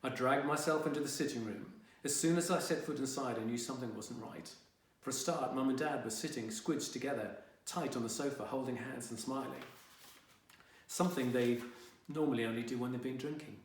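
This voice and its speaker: A man's voice: mid-range voice, a little fast, recorded from a distance, close to an everyday voice. Sullen teen boy narrator played by a man, wry and indignant, young adult audiobook, very echoey room. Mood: wry, uneasy. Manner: wry, dramatic, exasperated teenage grumbling. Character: sullen, indignant fifteen-year-old boy telling his story. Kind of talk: first-person young adult audiobook reading.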